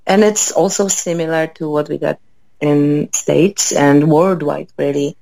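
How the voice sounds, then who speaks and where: Low-voiced Polish-accented woman, thoughtful. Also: steady tone, measured pace, vocal fry, mellow, no echo. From Poland. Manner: hesitant, many fillers, thoughtful. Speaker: interview guest. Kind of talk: conversational interview.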